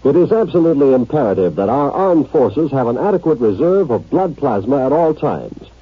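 A man's voice: mid-range voice, sing-song, a little fast, breathy edge, dry recording. An American man reading a scripted 1950s radio public service appeal in a solemn, emphatic announcer's manner. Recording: muffled, dry old radio recording.

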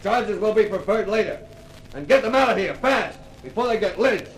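An actor playing a stern committee official giving urgent, authoritative orders in a 1950s radio drama. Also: male voice, high-pitched, expressive, moderate pace. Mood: commanding. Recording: distant, echoey, faintly noisy old radio.

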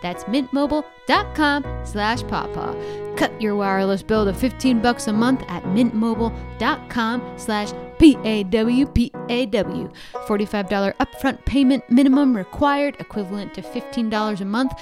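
A woman's voice: on the high side, normal speed. A woman podcast host reading a scripted sponsor advertisement. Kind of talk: scripted ad read. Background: music.

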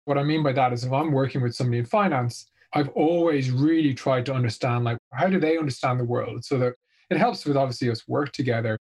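Irish guy, middle-aged, talking through his career slow and thoughtful, everyday voice. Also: medium pitch, a little mellow, breathy edge. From Ireland. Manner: reflective, measured storytelling. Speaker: guest, marketing executive. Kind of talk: casual interview answers.

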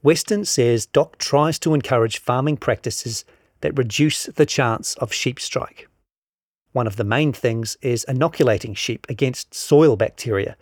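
A man's voice: medium pitch, darkish tone, slightly rough, dry recording, clean studio sound. A man narrates a scripted long-form article, reading out both the reporting and the quotations.

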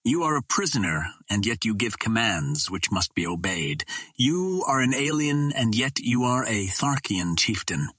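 A man's voice: lowish voice, sing-song, measured pace, bright, forceful tone, no echo, full character voice. A man voicing a stern, menacing green Martian warrior chieftain in an audiobook, speaking formally and with command. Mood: stern warning.

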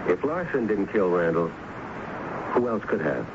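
An actor playing a persistent, brooding police lieutenant who asks terse, probing questions in an old-time radio drama. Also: male voice, low-pitched, sing-song, normal speed. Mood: pressing. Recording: dull, noisy vintage radio recording.

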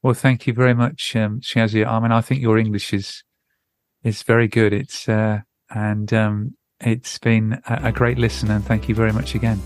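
A man's voice: fairly low pitch, flat delivery, normal speed. A man hosting a podcast interview in a conversational way.

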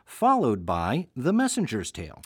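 A man's voice: mid-range voice, wildly swooping pitch, moderate pace, breathy edge, no echo, studio quality. An American man co-hosting a podcast in a conversational style. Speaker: podcast co-host.

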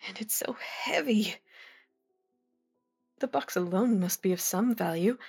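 An actress playing a gentle, polite young Victorian lady in a period mystery audio drama, with a breathy voice. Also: mid-range voice, a little slow, soft background music. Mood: polite curiosity. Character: genteel young Victorian lady, composed and tender.

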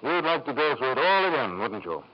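A dull vintage radio recording presents a reserved doctor. His mid-pitched voice is terse and guarded, with dry reproach in the manner of old radio drama. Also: sing-song, brisk. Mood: reproachful. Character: reserved, disapproving bachelor doctor, an old friend. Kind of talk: 1950s radio drama acting.

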